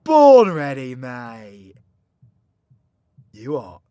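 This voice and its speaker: A man's voice: medium pitch, sing-song, extremely slow, mellow, clear, resonant tone, dry recording. Guy acting cocky british supervillain for audio roleplay, sweary n teasing, voice swoops about, dry close sound. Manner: slangy, swearing, swaggering, theatrical. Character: cocky, foul-mouthed male supervillain mentor, playfully teasing. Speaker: voice actor. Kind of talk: villain ASMR audio roleplay, addressing the listener.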